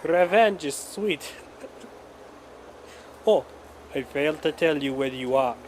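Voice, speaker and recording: A man's voice: on the high side, lively intonation, takes it slowly, a little mellow, slight background noise, poor audio. A man playing a gloating, vengeful Russian official in an audio drama, with a theatrical delivery.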